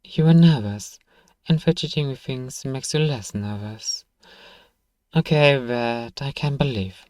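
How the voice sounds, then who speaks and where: Male voice for fantasy ASMR roleplay, gruff but kind apothecary, mid pitch, warm and relaxed, dry close recording. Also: measured pace, no echo. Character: gruff but soft-hearted apothecary, reading a letter aloud, exasperated. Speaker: audio roleplay performer. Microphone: close, dry microphone.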